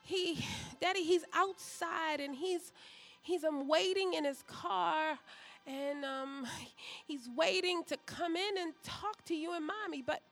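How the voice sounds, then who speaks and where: An actress playing a young adult pastor's daughter in an audio drama, pleading and anxious, with a hesitant, melodramatic delivery. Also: female voice, very high-pitched, takes it slowly, soft background music, full character voice. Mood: nervous.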